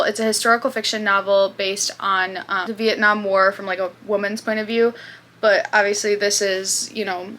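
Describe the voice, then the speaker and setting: Bright-voiced chatty young woman. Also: mid-range voice. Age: 20s. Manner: chatty, with fillers and asides. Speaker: booktube host. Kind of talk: casual chat.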